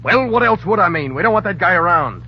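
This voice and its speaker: An actor playing an evasive, scheming crook, hesitant and placating or curt, in a 1930s radio drama. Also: male voice, high-pitched, lively intonation, fast. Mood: cold, scheming. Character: smooth-talking, evasive, scheming crooked associate of gangsters. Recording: muffled, noisy 1930s radio broadcast.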